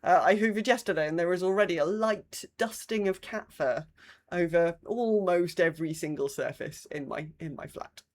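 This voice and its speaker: A woman's voice: lowish voice, normal speed. A woman with a British accent chatting casually as a podcast co-host, with a wry, dry sense of humour. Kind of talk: casual chat.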